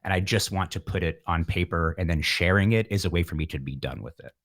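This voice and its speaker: Man, low-pitched voice, informal, self-deprecating, musician chatting as an interview guest. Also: fast talker, fairly bright tone. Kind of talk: casual interview chat.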